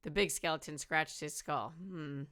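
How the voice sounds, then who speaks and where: Female teacher as story narrator: slightly low voice, deliberate rhythm, expressive read-aloud for children.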